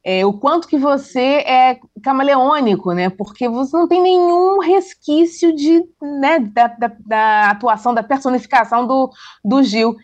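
Relaxed host on a dull lo-fi line. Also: male voice, very high-pitched, normal speed. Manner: relaxed, passes questions to co-hosts. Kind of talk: hosting a live talk show.

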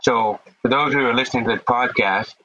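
A man's voice: mid-range voice, brisk, sharp tone, dull audio. Earnest man with a deaf accent. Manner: earnest, speaks with conviction, repeats words when starting. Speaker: podcast guest. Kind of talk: casual interview talk.

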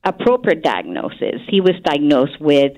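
Chilean woman, radio guest, lowish voice, halting delivery with fillers. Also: sing-song, a little mellow, rough recording. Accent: Chilean Spanish. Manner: hesitant, searching for words, frequent um and uh. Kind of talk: radio interview answers.

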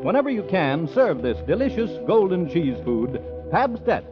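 A man's voice: sits high, big pitch swings, moderate pace, over loud music. A man with an American accent reading a 1940s radio advertisement as a commercial announcer. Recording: muffled vintage radio recording.

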